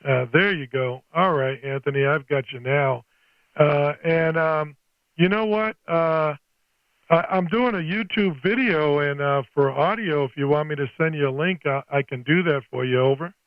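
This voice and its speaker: A man's voice: a little high, normal speed, dry recording, highs cut off. An older man chatting casually as an amateur radio operator, folksy and scattered, thinking aloud as he talks. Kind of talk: casual two-way radio chat.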